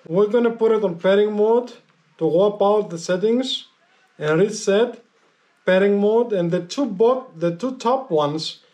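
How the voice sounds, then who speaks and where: A man with a high-pitched voice talks through a casual hands-on tutorial. He explains step by step, haltingly, often repeating his instructions as he goes. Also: darkish tone. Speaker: motorcycle gear vlogger giving a tutorial.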